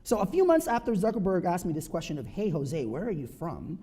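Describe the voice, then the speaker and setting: Filipino guy in his 30s giving a talk, kinda husky, earnest, keeps going "right?". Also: high-pitched, wildly swooping pitch, a little fast, warm tone. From Philippines. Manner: earnest, emphatic, rhetorical "right?" asides. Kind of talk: personal talk to an audience.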